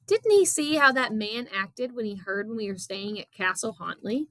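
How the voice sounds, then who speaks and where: A woman's voice: a little high, moderate pace, a bit bright, no echo, close to an everyday voice. A woman voicing a sarcastic young boy in a storybook, grumbling and muttering. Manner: groaning, muttering.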